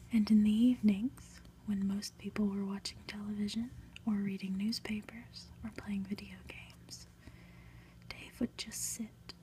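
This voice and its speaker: Woman, ASMR host, whispered reading, gentle, mellow tone, slow delivery. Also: medium pitch, slight background noise. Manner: gentle, unhurried, soft-spoken. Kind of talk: whispered ASMR book reading with casual asides.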